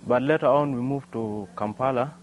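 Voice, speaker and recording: A young man answers interview questions outdoors. He restarts often and tags on "yeah" while he thinks. His voice sits at a middle pitch, with faint noise behind him. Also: moderate pace. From South Sudan. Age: young adult. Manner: hesitant, many "yeah" and restarts. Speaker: interview guest, young inventor. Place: outdoors by a workshop.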